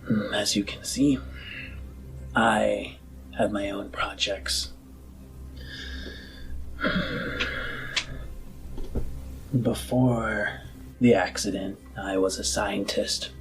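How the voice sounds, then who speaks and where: A man voicing a solemn, visionary politician and former scientist in a tabletop game, speaking in deliberate, philosophical speeches with dramatic pauses. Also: fairly low pitch, fairly even intonation, music in the background, low-quality recording. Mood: solemn, measured.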